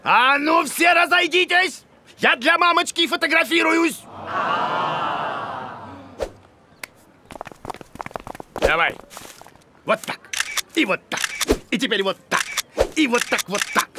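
A man's voice: extremely high pitch, lively intonation, measured pace, very noisy, full character voice. A man voicing a goofy, boastful bearded cartoon creature, a childish mama's boy who blusters, mutters and stammers. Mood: bossy, excited.

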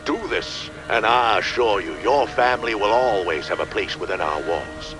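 An actor playing a commanding cult pastor in a video game, solemn and sinister, with deliberate preacherly delivery. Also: male voice, fairly low pitch, music in the background, lightly acted. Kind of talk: video game cutscene acting.

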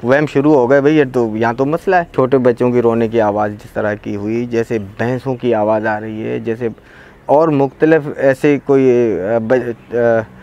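A man's voice: medium pitch, steady tone, measured pace, darkish tone, muffled recording. A man telling an interviewer about strange happenings on his land, talking hesitantly with many fillers and restarts, recorded outdoors by a film crew. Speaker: local farmer interviewee. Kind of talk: recounting events in casual conversation. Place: outdoors by a walled rural plot. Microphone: field recording by a camera crew.

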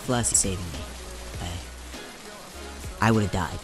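Young male, acted, lovestruck and shaken, low pitch, halting delivery, music and rain behind. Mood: shaken, earnest. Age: young adult. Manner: halting, earnest. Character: shaken, lovestruck young college man confessing feelings. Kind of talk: scripted audio drama.

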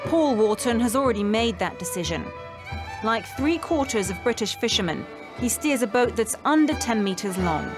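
A woman's voice: fairly high pitch, animated, moderate pace. A woman reporter reading scripted narration for a news documentary. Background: background music.

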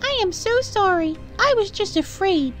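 High-pitched fretful old village woman. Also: expressive, moderate pace, fairly bright tone, faint music behind. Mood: sheepish, timid. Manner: screechy, fretful.

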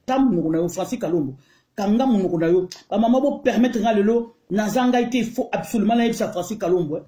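A woman's voice: medium pitch, fast, mellow. A middle-aged Congolese woman with a Congolese accent, ranting indignantly into her phone in a heated video. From Congo (DRC). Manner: indignant, emphatic, mixes French and Lingala. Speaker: online commentator. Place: small room indoors. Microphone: phone held close to the face. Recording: echoey room.

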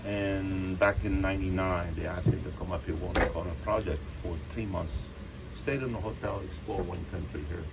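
A Lebanese-born winemaker in his sixties with a very low voice, talking casually to a group of visitors. He rambles through asides and jokes about himself. The recording is an outdoor phone clip from a few meters off, with clear background noise. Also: steady tone, normal speed. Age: 60s. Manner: plain-spoken, self-mocking, rambling with asides. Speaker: winemaker hosting a tasting. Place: outdoor patio of a hillside winery. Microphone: phone camera a few meters away.

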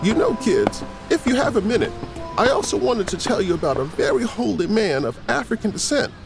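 A man's voice: sits high, big pitch swings, fairly quick, gravelly, music in the background, heavily acted. An actor playing a friendly man who tells history to children in a folksy, engaging way in an audio drama. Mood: engaged storytelling. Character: friendly male teacher telling children saintly history.

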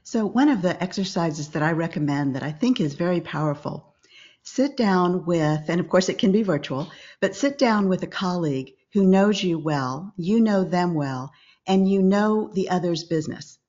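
An older woman speaks in a calm, measured way, giving clear instruction as an interview guest. Her voice sits low. The video-call audio sounds a little dull. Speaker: podcast guest, business coach.

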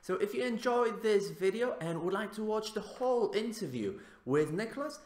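A man's voice: high voice, animated, moderate pace, darkish tone. Man in his thirties in an echoey room. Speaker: host, teacher trainer. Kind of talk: interview host and intro to camera. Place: small indoor room.

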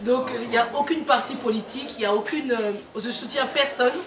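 A middle-aged woman from Congo who heads a foundation addresses staff and mothers in a hospital ward. She speaks spontaneously and thanks them warmly. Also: a little high, a little fast, music in the background. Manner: warm thanking, mixing French and Lingala. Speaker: foundation head visiting a maternity ward. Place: crowded hospital ward, indoors. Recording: echoey ward, mic far off, loud crowd. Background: loud crowd chatter.

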